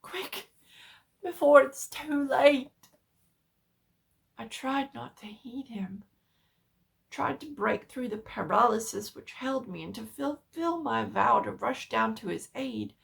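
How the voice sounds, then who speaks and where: Slow, higher-pitched woman reading horror. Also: lively intonation, creaky, fairly bright tone. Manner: theatrical, halting dramatic pauses. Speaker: storyteller, narrator. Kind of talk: dramatic reading of a horror story.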